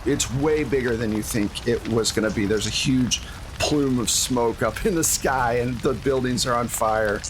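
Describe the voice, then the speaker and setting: A man's voice: medium pitch, moderate pace. Casual man running a tabletop game, faint noise. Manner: casual, conversational. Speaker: game master. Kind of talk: narrating a tabletop roleplaying game.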